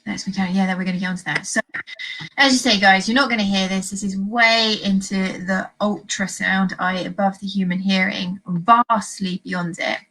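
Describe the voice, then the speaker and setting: Woman host with a normal-pitched voice, chatty, recapping stuff simply for listeners. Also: steady tone, normal speed, bright, forceful tone, low-quality recording. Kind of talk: informal educational interview.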